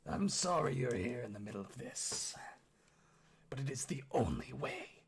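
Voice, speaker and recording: A man voicing a bitter, menacing orc father with a husky, gruff voice and sneering, hissing delivery in a fantasy story. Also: medium pitch, big pitch swings, normal speed. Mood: bitter, ominous.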